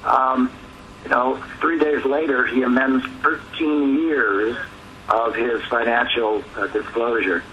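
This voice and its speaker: A man speaking as an advocacy spokesman on a press teleconference, in a halting, measured manner. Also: mid-range voice, fairly even intonation. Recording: noisy conference phone line.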